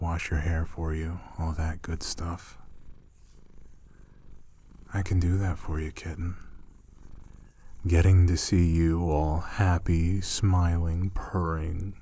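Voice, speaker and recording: Deep, slow, tender boyfriend-style roommate acted by a man. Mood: tender, teasing. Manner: soft-spoken, tender, playful teasing. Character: affectionate, teasing male roommate gently pampering a shy catgirl. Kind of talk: intimate ASMR roleplay audio.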